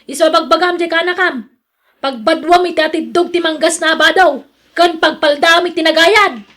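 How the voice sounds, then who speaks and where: A woman acting as a jealous Ilocano wife in a radio drama, her voice pitched high. She is hardworking and exasperated, and she nags and complains. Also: normal speed, fairly bright tone. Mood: scolding, complaining.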